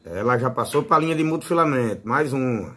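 A man's voice: medium pitch, lively intonation, brisk, bright, forceful tone, husky. A man with a Northeastern Brazilian accent giving a casual, informal hands-on tutorial as a fishing channel host. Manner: informal, fond of diminutives.

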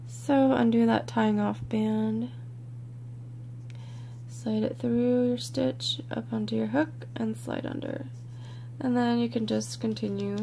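A woman with a croaky, congested voice leads a step-by-step craft tutorial in a relaxed, chatty way, sometimes dropping to a near-whisper as she counts stitches. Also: on the high side, slight background noise. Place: indoors at a worktable, overhead camera on hands.

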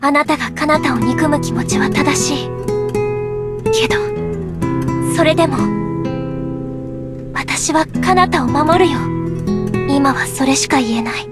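An anguished anime game girl with a very high, slow, earnest voice. She is devoted and burdened by guilt, her delivery dramatic and halting over prominent music. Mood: quiet, resolved. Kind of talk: Japanese anime game voice acting. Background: loud game music.

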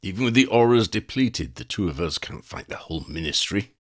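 A man voicing a cautious, calculating male dark wizard in an audiobook reading. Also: deep voice, animated, a little fast. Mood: wary. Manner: wary, calculating.

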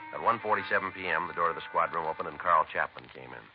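An actor playing a stern, no-nonsense American police sergeant in a 1950s radio drama, clipped and matter-of-fact. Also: male voice, low voice, lively intonation, fast talker, loud music behind the voice. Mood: flat, factual. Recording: muffled vintage radio recording, faint noise.

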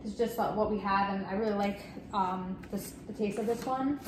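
A woman's voice: mid-range voice, normal speed. A woman in her thirties chatting upbeat through a step-by-step cooking demo in her home kitchen, talking to a camera set at table distance. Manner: chatty and upbeat, explaining as she works. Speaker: cooking vlogger. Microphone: fixed camera at table distance. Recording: echoey kitchen with faint noise.

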